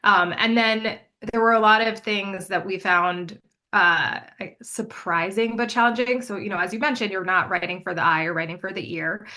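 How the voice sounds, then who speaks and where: Woman, guest co-writer, bright tense tone, measured explanations. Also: mid-range voice. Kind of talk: casual interview chat.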